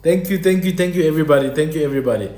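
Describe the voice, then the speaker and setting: A man's voice: a little high, rapid-fire, warm tone, roomy sound. A South African man, English not his first language, speaking in a passionate, exasperated monologue as host. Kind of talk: casual monologue.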